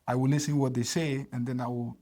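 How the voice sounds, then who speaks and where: A man in his thirties, from Ghana, talks as a guest on a casual podcast. He tells his story with energy and acts out past conversations. His voice sits at a middle pitch. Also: fast. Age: 30s. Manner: animated storyteller, acts out dialogue with laughs.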